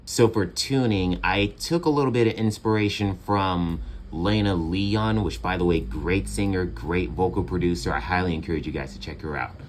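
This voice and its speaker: A young man with a very low, bassy voice talks in a loose, relaxed way, leaning on fillers as he walks through his home studio setup. He sounds close to a phone mic in a small room. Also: moderate pace, few pauses, slight background noise. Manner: relaxed, chatty, many fillers. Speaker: home music producer. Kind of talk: casual tutorial walkthrough.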